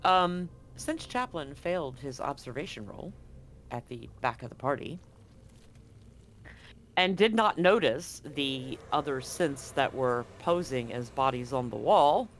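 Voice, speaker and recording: A man narrating as game master in a tabletop game, relaxed and full of fillers. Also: medium pitch, a little slow, slight background noise.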